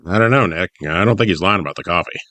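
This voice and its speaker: Deep gruff little fox sidekick. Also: male voice, quick pace, bright, forceful tone. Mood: gruff, skeptical. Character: gruff, skeptical little male fennec fox legal sidekick.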